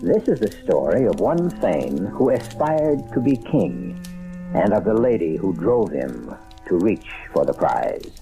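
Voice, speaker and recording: A man hosting a radio mystery series, giving grave, theatrical scripted narration with measured phrasing. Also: fairly low pitch, very animated, mellow, low-quality recording. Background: music.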